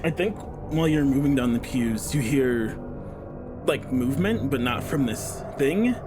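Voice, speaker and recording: A man's voice: mid-range voice, lively intonation, a little slow. A man running a tabletop game, narrating a scene in an easygoing way with plenty of fillers. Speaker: game master. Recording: clear background music.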